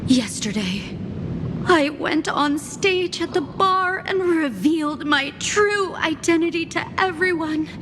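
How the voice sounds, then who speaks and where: A sorrowful young stage tragedienne from a video game, speaks in a very high voice. She laments slowly and theatrically while music plays clearly behind her. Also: heavily acted. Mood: aggrieved, lamenting. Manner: melodramatic, lamenting. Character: sorrowful, theatrical young female mourning actor. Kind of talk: video game character acting.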